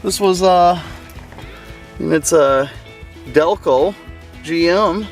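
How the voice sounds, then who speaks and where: A man's voice: fairly high pitch, slow pace, faint music behind. A man giving a casual, folksy hands-on walkthrough to camera as a car hobbyist, thinking aloud as he works with some hesitation.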